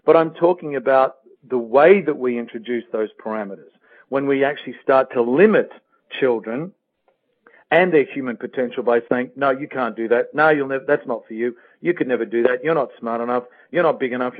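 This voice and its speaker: A man's voice: mid-range voice, brisk. A man with an Australian accent speaking as a guest life coach in a motivational interview, earnest and emphatic, repeating phrases for effect. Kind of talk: motivational interview talk with personal anecdotes. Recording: thin, phone-like radio sound.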